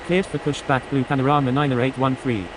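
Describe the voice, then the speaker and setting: A man playing a brisk, procedural airline pilot in a game, making scripted radio calls. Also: medium pitch, level tone, lightly acted. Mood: brisk, businesslike. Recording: hissy recording with whining engine noise.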